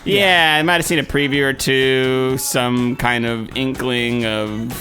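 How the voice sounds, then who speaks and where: Music plays clearly behind a man with a medium-pitched voice. He banters casually as a comedy podcast host, with a wry, joking manner. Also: steady tone, slow.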